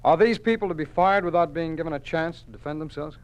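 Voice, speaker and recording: An actor playing a principled, composed company lawyer, articulate and formal, with an American accent in a 1950s radio drama. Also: male voice, a little high, fast talker, natural voice. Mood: defiant.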